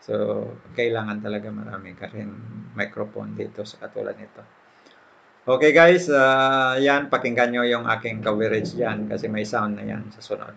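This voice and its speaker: An older man with a Filipino accent giving casual, halting vlog commentary, mixing in English words. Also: lowish voice, steady tone, gravelly, fairly bright tone. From Philippines. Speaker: fish farmer and vlogger.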